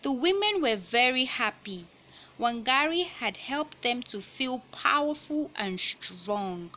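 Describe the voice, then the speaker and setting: Bright-voiced woman reading aloud, slow pace. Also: a little high, sing-song. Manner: deliberate, explanatory, teacherly, repeating key points for young listeners. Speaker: storyteller reading a children's picture book aloud. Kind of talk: read-aloud storytelling with explanatory asides.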